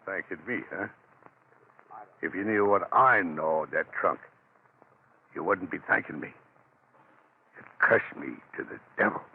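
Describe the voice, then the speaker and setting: A man's voice: low voice, unhurried, gravelly, long pauses, a little hiss or hum. An actor playing a pompous, wheedling auctioneer in an old-time radio horror drama, with theatrical salesman patter. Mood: darkly sinister. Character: wheedling, pompous English auctioneer hiding sinister knowledge. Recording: muffled, narrow-band vintage radio recording.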